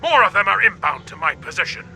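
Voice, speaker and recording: A battle-hungry Klingon emperor for a video game. His voice is fairly high and very sharp, booming out in theatrical declarations, with music underneath. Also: sing-song, fast talker, gravelly, full character voice. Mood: wrathful. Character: proud battle-hungry Klingon emperor, alien warrior leader.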